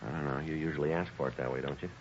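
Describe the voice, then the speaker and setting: A man playing a terse, no-nonsense police detective in a deadpan, clipped 1950s radio style. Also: extremely low pitch, very fast. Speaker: actor, narrator and lead of a radio police drama. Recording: dull, noisy 1950s radio recording.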